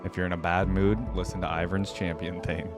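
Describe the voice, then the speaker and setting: A man's voice: sits low, level tone, fairly quick. A man giving casual, informal reaction commentary, musing aloud as he goes. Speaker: reaction video host. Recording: music bed behind the voice. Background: game music.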